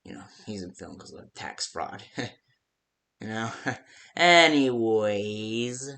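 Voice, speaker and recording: A man with a somewhat low-pitched voice talks through a homemade game guide as if leaving a casual phone message. He speaks in a loose, improvised way. His sentences halt, double back and start over as he rambles along. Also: sing-song, pushed and bright. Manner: rambling, halting, self-interrupting with stammered restarts. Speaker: creator of a fan-made game parody, voicing its phone-guide messages.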